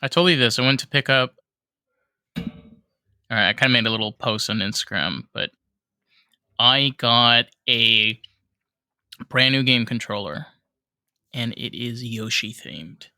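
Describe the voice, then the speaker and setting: A podcast co-host with a fairly deep voice, chatting casually and informally and swearing freely. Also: unhurried, pauses often, sharp tone, smooth, no echo, studio quality. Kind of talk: casual banter.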